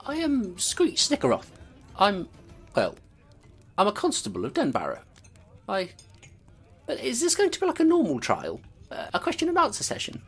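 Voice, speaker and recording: An actor playing a nervous male rat constable in an audio drama, speaking in a rambling, halting way. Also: high voice, huge pitch swings, breathy edge, faint music behind, exaggerated voice.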